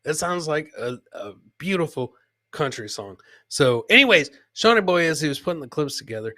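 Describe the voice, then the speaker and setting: A man's voice: a little high, big pitch swings, moderate pace, sharp tone, no room sound, clean studio sound. A man hosting a casual comedy show, commenting on clips and often repeating phrases for emphasis. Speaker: podcast host.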